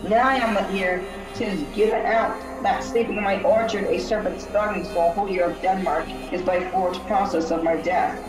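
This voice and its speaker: A woman voicing the solemn, aggrieved ghost of a murdered king, speaking in slow, archaic, portentous phrases in a tabletop game. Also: medium pitch, fairly even intonation, natural voice. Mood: solemn, grave. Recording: echoey, distant, music underneath. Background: music.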